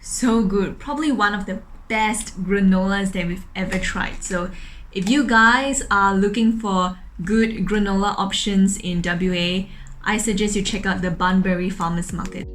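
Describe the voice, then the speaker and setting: A woman's voice: medium pitch, unhurried. Singaporean woman vlogging with background noise. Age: young adult. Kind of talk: casual vlog talk to camera. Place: indoors in an open-plan apartment living room and kitchen.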